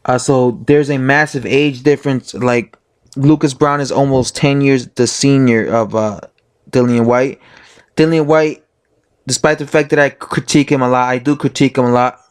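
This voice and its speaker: A man talks casually with a hoarse, slightly sick voice. He rambles through his opinions as a boxing vlogger. He stops to correct himself and fills gaps with "uh" and "like". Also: medium pitch, fairly even intonation, moderate pace. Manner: rambling, full of fillers and self-corrections.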